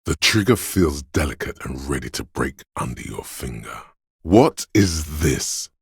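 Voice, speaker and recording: Game narrator casting: menacing primal instinct, gravel-heavy male voice, unhurried, deliberate, very dry close sound. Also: big pitch swings, dry recording, heavily acted. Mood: ominous. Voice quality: gravelly, husky, very deep. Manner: brooding, deliberate, ominous. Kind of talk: dramatic second-person game narration.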